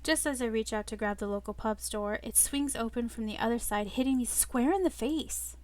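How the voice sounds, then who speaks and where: A woman reading a first-person romance story aloud in an expressive, playful manner. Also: on the high side, moderate pace. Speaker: visual novel reader. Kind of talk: first-person narration with live asides. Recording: loud music behind the voice. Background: loud music.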